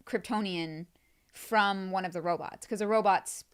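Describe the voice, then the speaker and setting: A woman co-hosts a relaxed movie chat. Her voice is smooth and clear at a middle pitch, and she talks in an easygoing, agreeable way. She often restarts her sentences and laughs easily. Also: moderate pace. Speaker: podcast co-host. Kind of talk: casual spoiler chat.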